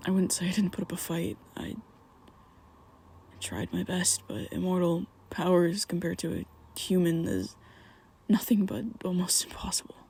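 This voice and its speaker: Young male vampire, guilt-ridden best friend, hushed ASMR whisper with a raspy, fry-edged voice; a man performs it. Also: sits high, full character voice. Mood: hushed confessional. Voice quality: soft close whispering. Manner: halting, confessional, with restarts. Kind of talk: ASMR roleplay audio.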